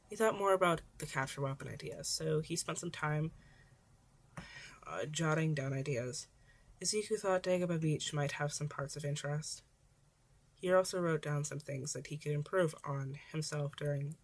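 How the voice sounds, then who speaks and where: Low-pitched woman reading a story aloud. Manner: stumbles and rereads words. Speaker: fan fiction reader. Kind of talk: amateur audiobook-style story narration.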